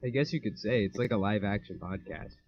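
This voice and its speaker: A man's voice: fairly low pitch, fairly quick, no room sound. A young man co-hosting a casual podcast, rambling, with many fillers and easy laughs. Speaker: podcast co-host. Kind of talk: casual chat. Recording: dull lo-fi home mic.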